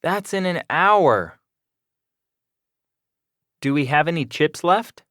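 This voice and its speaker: A man's voice: fairly high pitch, lively intonation, slow pace, fairly bright tone, dry recording, clean studio sound. A man playing a hungry, impatient son speaking plainly in a scripted skit.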